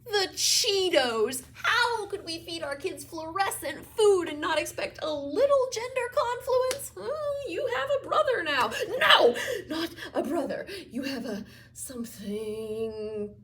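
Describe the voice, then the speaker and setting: A woman's voice: a little high, big pitch swings, unhurried. A woman playing an exasperated mother in a comic stage monologue, theatrical and sarcastic, hitting her words emphatically. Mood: frantic, exasperated. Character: frazzled, exasperated mother lecturing about her child's new pronouns.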